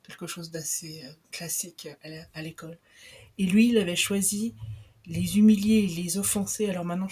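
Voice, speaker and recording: A woman's voice: on the low side, a little mellow, breathy edge. A young woman on a radio book show, talking about a book with many fillers and restarts. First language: not Italian. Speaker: guest presenting a book. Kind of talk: live radio book chat.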